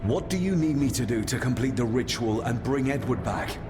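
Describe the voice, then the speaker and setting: Actor as defiant heir with expressive voice. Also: male voice, mid-range voice, brisk, over music, natural voice. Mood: resolute. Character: defiant, earnest young heir facing his villainous cousin. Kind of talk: video game voice acting.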